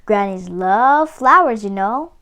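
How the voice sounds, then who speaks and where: A woman voicing a sly, greedy male wolf for a storybook, with suave, wheedling, genteel manners and drawn-out words. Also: mid-range voice, lively intonation, sharp tone, exaggerated voice. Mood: suave coaxing. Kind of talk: storybook read-aloud character voice.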